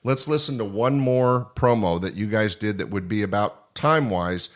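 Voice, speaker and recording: An older man hosts a podcast interview. His voice sits on the low side, and he reminisces in long, rambling, conversational sentences. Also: moderate pace. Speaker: podcast host, former comedy club owner.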